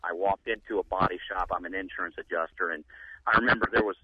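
A man calling in to a radio show, speaking haltingly with many fillers. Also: low voice, fairly even intonation, lo-fi sound, a crowd in the background. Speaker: caller. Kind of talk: call-in radio talk.

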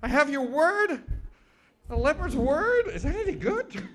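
A man's voice: very high-pitched, very animated, unhurried, fairly bright tone, pitched above the performer's own voice, full character voice. Man putting on a stammering, eager little trickster spider. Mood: wary, sly. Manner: stammering, hesitant, wheedling.

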